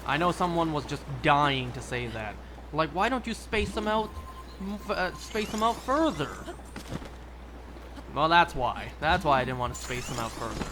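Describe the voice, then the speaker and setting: A man's voice: on the high side, very animated, moderate pace, noisy background. A young man chatting casually and rambling through gameplay commentary, with wry little asides. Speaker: streamer.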